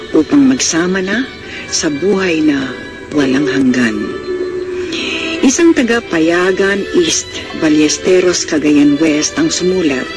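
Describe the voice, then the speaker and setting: A man with a Filipino accent hosting a radio drama, narrating in formal, florid, deliberate phrasing. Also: a little high. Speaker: host of a radio drama anthology. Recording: radio broadcast with music behind. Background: music.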